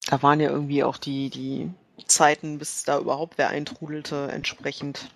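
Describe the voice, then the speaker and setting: A man's voice: fairly high pitch, little pitch movement, moderate pace, vocal fry. A man chatting casually and a bit ramblingly as he plans in a tabletop game. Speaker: player in a tabletop role-playing game. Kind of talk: casual planning talk during a game.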